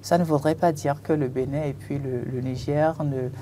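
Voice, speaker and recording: A panelist on a TV political talk show, speaking hesitantly with many pauses and restarts. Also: mid-range voice, steady tone, warm tone, a little hiss or hum. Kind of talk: TV panel debate commentary.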